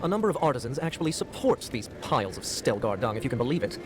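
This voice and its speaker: A man playing a gruff, wary local guard in a video game, giving blunt, colloquial cautions. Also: mid-range voice, big pitch swings, fast talker, background noise, close to an everyday voice. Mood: wary warning. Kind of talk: video game character dialogue. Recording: game audio with music behind.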